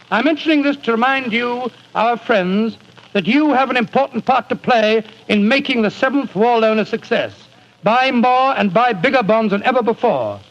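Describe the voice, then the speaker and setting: A genial older English gentleman storyteller from a 1940s radio play. His voice sits high, and he talks in a hesitant, avuncular way. The sound is a dull old broadcast. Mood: earnest.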